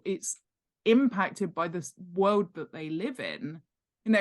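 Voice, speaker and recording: A woman with a slightly low-pitched voice talks as an expert guest. She explains things conversationally, with plenty of emphasis and enthusiasm, and laughs easily. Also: a bit bright. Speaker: interview guest, world-building expert and author.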